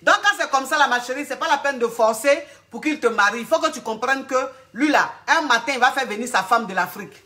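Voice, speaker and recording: A woman coach talks straight to her callers and keeps calling them darling. Her voice sits high and rings bright and clear. She sounds blunt but motherly, and firm when she makes a point. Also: fairly quick, hardly any pauses. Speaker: relationship coach answering callers. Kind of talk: live call-in advice.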